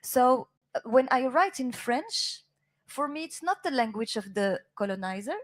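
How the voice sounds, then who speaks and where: A woman's voice: fairly high pitch, lively intonation, a little slow. Woman poet groping for English words. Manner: searching for words, mixes French phrases into English. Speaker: poet on a panel. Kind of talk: panel discussion.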